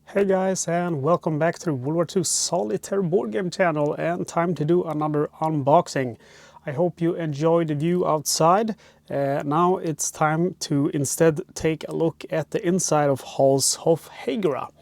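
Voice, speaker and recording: A man's voice: fairly high pitch, a little slow, creaky, a little mellow, dry recording. A man with a Swedish accent, hosting a casual board game unboxing from inside a cramped RV on a wireless clip-on mic, chatty and rambling with lots of "uh" fillers. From Sweden. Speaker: board game channel host. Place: cramped RV parked by a harbor. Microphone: wireless clip-on microphone.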